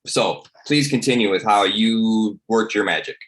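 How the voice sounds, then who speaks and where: A man's voice: on the low side, unhurried. A man telling an anecdote casually as a podcaster in an online discussion. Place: online video call.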